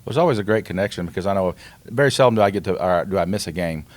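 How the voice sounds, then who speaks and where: Low-voiced, fast-talking man. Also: expressive. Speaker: podcast co-host. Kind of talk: casual chat.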